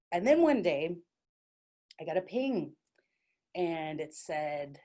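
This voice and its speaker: A woman's voice: fairly low pitch, very animated, very slow, darkish tone. Chatty, self-mocking woman telling a comic story. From Dallas, Texas. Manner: chatty, self-mocking, lots of likes and restarts. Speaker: solo performer.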